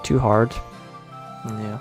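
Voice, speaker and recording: A man's voice: on the low side, flat delivery, very slow, music in the background. A man from the Netherlands with a Dutch accent, chatting casually on a live stream and rambling in a self-deprecating way. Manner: rambling, self-deprecating, thinks aloud with restarts.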